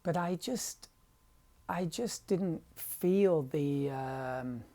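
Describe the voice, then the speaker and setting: A man's voice: on the high side, very slow, mellow. A middle-aged English man with a dry voice, rambling hesitantly and self-deprecatingly in a confessional vlog about his painting. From England. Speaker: painter vlogger.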